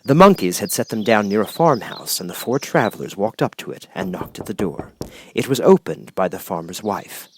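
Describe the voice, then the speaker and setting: Very animated man narrating a storybook. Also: fairly low pitch, normal speed, a little hiss or hum. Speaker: audiobook narrator. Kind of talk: expressive scripted children's story narration.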